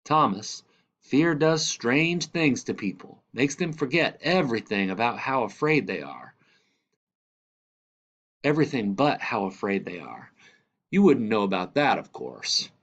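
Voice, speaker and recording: Man acting out a dramatic storytelling grandfather, animated. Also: medium pitch, unhurried, dull audio, voice pushed up. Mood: wry, earnest. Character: storytelling grandfather, candid, wry, fond of his grandson.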